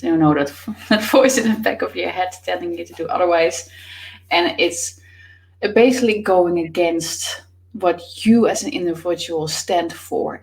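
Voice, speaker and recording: A woman with a Dutch accent and a somewhat low voice talks through her answers in a casual interview. She is in her thirties and speaks thoughtfully, pausing on fillers as she goes. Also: low-quality recording. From Netherlands. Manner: thoughtful, many fillers, laughs often. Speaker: author and business coach, guest.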